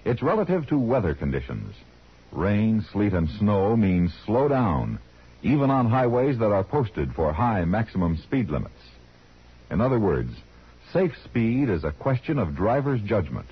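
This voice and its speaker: An American-accented man announces formally on 1950s radio. His voice is very husky, with wide, animated intonation and measured phrasing. The recording has the dull tone of a vintage broadcast. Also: fairly low pitch.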